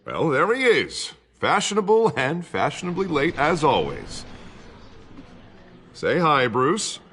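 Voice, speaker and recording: An actor playing a middle-aged American politician on the campaign trail, glib and persuasive, in a video game cutscene. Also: male voice, fairly high pitch, wildly swooping pitch, takes it slowly, fairly bright tone, slight background noise, exaggerated voice. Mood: showy, genial. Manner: glib, persuasive, theatrical speechifying. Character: smooth-talking, ambitious male politician on the campaign trail.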